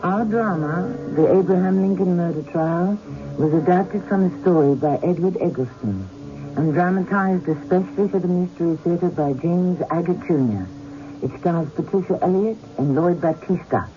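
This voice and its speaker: A man's voice: sits high, normal speed, over music. A man giving scripted, theatrical narration as the host of a radio drama. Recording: dull, noisy old radio broadcast.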